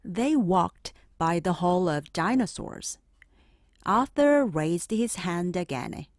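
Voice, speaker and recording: A woman narrating a children's picture book aloud in an expressive, clearly phrased storytelling manner. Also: on the low side, takes it slowly, no echo, studio quality.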